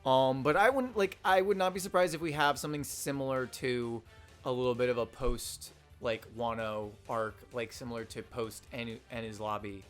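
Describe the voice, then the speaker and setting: A young man with a slightly hoarse voice, rambling casually through a manga chapter review with lots of fillers and restarts. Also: mid-range voice, normal speed, fairly bright tone, faint music behind. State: slightly hoarse, losing his voice. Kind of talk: casual unscripted commentary.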